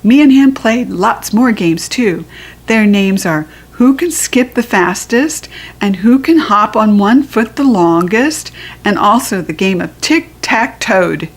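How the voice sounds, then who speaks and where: A woman's voice: mid-range voice, animated, slow. A woman with an American accent narrating a children's story expressively, with a playful, childlike delivery. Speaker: storybook reader.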